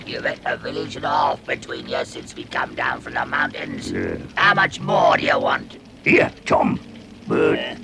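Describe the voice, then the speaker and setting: An actor playing a coarse, hungry, bad-tempered troll with a Cockney accent and a growling voice, in an old-fashioned radio drama. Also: male voice, mid-range voice, lively intonation, moderate pace, gravelly. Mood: gruff, conspiring. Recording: noisy vintage radio drama recording.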